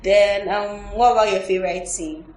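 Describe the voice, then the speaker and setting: A woman's voice: medium pitch, steady tone, moderate pace, darkish tone. A woman hosting a casual panel review and sharing her own opinions in conversation. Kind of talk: casual film review discussion. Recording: echoey room, distant mic, faint noise.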